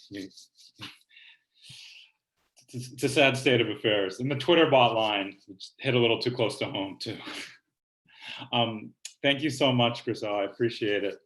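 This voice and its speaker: A man's voice: mid-range voice, fairly bright tone, slight background noise. A man casually hosting a poetry reading over a video call from home, chatting between readers. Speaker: poet hosting a reading series. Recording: echoey room on video call.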